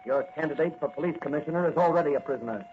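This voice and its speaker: An actor in a 1940s radio serial playing a righteous young rookie cop who fights crime in a mask. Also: male voice, fairly high pitch, racing, a little hiss or hum, natural voice. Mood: stern, accusing. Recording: dull, lo-fi old radio recording.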